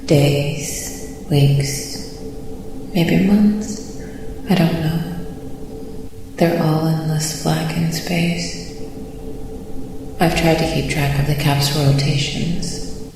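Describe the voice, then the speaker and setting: Lone space castaway, audio drama. Despairing, halting, deep female voice, heavily reverberant. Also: steady tone, gravelly, heavily acted. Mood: despairing, weary. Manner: halting, fragmented speech. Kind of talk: science fiction audio drama monologue. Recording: very echoey, noisy reverberant transmission.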